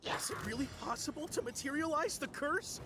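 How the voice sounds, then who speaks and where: A woman's voice: high-pitched, animated, a little fast, background noise. A woman voicing an anxious, superstitious townswoman in a video game, earnest, polite and hesitant. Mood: worried.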